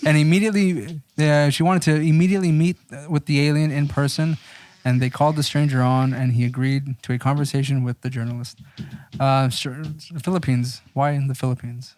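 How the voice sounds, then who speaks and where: A man's voice: medium pitch, fairly even intonation, brisk, a little mellow. A man co-hosting a casual podcast, reading from an article and commenting on it. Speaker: podcast co-host.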